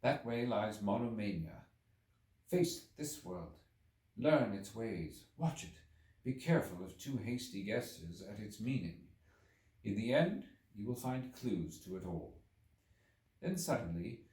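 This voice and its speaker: A man with a low voice gives a dramatic audiobook reading of classic literary prose. His delivery is theatrical and highly varied in pitch. The recording has a clear room echo. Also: a little slow. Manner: theatrical, expressive storytelling. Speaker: audiobook reader. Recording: roomy echo, distant microphone.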